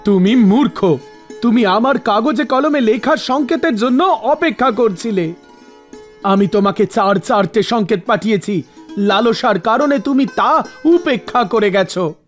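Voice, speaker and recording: An actor voicing a stern, commanding male god of death who speaks formally and scolds with authority in an animated mythological story. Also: mid-range voice, unhurried, faint music behind, close to an everyday voice. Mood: stern, rebuking.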